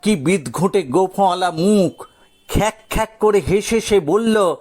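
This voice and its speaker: A man narrating a scripted audio story dramatically, with theatrical, suspenseful pauses. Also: high-pitched, darkish tone.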